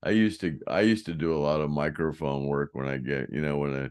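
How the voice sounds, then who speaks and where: An older man with a deep voice telling rambling stories in a casual chat, often trailing off and restarting. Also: steady tone, quick pace, darkish tone, clean tone. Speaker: host, former band roadie.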